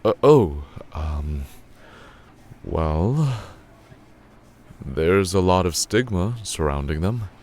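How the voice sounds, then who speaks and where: Deep, slow, hesitant reserved man. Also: lively intonation, a little mellow, full character voice. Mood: hesitant, guarded. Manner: hesitant, halting. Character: reserved, polite, awkward male cafe regular. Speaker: coffee shop regular. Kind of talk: audio drama dialogue.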